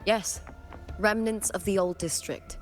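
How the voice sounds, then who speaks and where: A woman's voice: mid-range voice, steady tone, measured pace, lightly acted. A woman playing a confident, reflective young female gladiator in a video game, delivering scripted dialogue. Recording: game audio under loud music.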